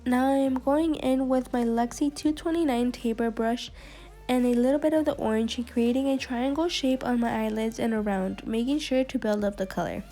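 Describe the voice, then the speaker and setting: A woman's voice: fairly high pitch, moderate pace, mellow. A young woman narrating a makeup tutorial as a voice-over, her voice a little off from being sick. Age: young adult. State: sick, voice affected by a cold. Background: background music.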